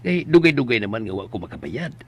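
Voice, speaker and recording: A man with a Cebuano Filipino accent playing a humble, fussing middle-aged farmer father in a radio drama, expressive and pleading. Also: mid-range voice, fast, highs cut off, background noise, natural voice. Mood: worried, pleading. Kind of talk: Philippine radio drama acting.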